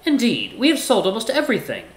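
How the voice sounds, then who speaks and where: A man voicing a refined male boss in a story, speaking in a polite, measured, formal way. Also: high voice, wildly swooping pitch, roomy sound, voice pushed up.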